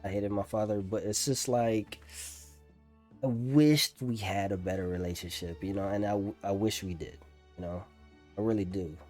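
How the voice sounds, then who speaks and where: A young man talking casually over gameplay as a streamer, candid and slangy, in a halting way. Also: on the low side, steady tone, a little mellow, soft background music. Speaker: gaming streamer.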